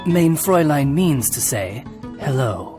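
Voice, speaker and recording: A talking raven familiar from a video game voiced by a man, humble and courteous. He speaks with formal, butler-like politeness at a medium pitch, over faint music. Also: expressive, a little slow, lightly acted. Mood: courteous, explanatory.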